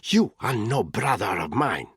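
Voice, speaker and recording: A man's voice: lowish voice, sing-song, measured pace, gravelly, fairly bright tone, breathy edge, no echo, studio quality, heavily acted. A male narrator voicing a frightened but defiant young mother who speaks in a tense, urgent hiss. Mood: tense defiance.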